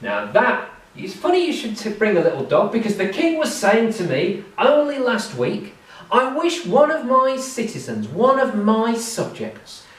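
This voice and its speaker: A man's voice: high-pitched, a little slow, reverberant, recorded from a distance, pitched above the performer's own voice, exaggerated voice, rough recording. A man doing a cold, menacing guard captain. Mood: sly. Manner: matter-of-fact, calmly threatening.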